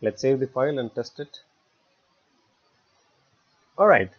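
A man narrates a software tutorial step by step. His voice sits at an average pitch, and he speaks in halting, repetitive short chunks. The recording is somewhat dull and lo-fi. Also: lively intonation. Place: voice-over for a screen recording.

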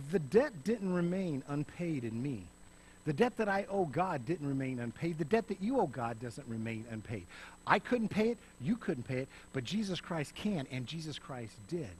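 A middle-aged man preaching on a church stage, swinging between loud emphasis and soft, hushed passages. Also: fairly high pitch, slight background noise, poor audio. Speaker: pastor. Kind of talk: sermon. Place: church stage, in front of a lit backdrop.

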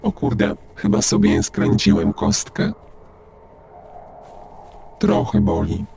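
A Polish man with a husky, gravelly voice giving gameplay commentary in short remarks. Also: deep voice, huge pitch swings, slow pace, darkish tone, a little hiss or hum. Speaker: gaming video narrator.

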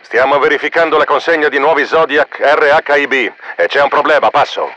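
A man's voice: fairly high pitch, fairly quick, pushed and bright, muffled recording, a little hiss or hum. A man playing a matter-of-fact mercenary in a video game, reporting to base through a radio filter. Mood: businesslike. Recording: band-limited military radio transmission.

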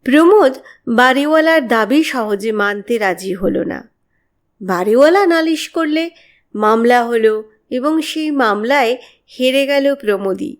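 Lady from reading a spooky story, really slow n expressive, high voice. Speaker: audiobook narrator. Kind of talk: dramatic scripted horror story narration.

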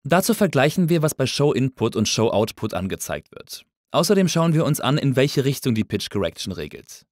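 Lively, dry-sounding man explaining methodically. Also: mid-range voice, a little fast, no room sound. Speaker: audio engineering instructor. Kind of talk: scripted tutorial narration.

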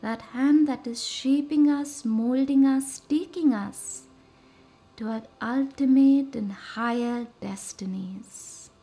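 Very slow woman guiding meditation. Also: high voice, dark tone. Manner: soothing, measured delivery in short pausing phrases. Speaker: meditation guide and host. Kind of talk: guided meditation with slow, reverent visualisation. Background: music.

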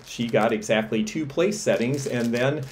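A man's voice: medium pitch, moderate pace, slight background noise. A middle-aged man, an antiques dealer, chatting enthusiastically as he shows vintage pieces for sale to a camera indoors. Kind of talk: show-and-tell selling vlog. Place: indoors against a textured wall.

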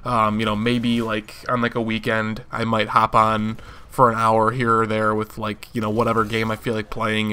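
A hoarse young man in his twenties, rambling casually through a channel update for his viewers. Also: lowish voice. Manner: rambling, relaxed, many fillers. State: hoarse from allergies. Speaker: gaming channel host.

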